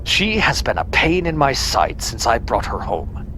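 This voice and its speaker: Man acting a sneering, tyrannical starship admiral. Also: unhurried. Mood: scornful ranting. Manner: condescending, domineering, ranting. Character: sneering, tyrannical, bigoted Starfleet admiral, cold and contemptuous. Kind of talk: sci-fi audio drama acting. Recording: steady background rumble.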